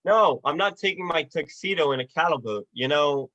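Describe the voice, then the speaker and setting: A man playing an earnest, restless young man with big dreams in a radio play, speaking haltingly. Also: a little high, lively intonation, sharp tone, dry recording, lo-fi sound. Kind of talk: 1940s-style radio play acting.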